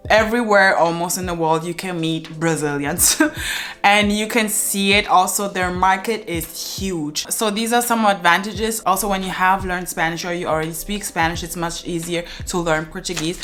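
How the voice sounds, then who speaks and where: Chatty young woman, low voice. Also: fairly even intonation, moderate pace, a bit bright, soft background music. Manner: chatty, earnest, many "uh" fillers. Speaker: language vlogger. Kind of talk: casual advice to camera. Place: living room at home.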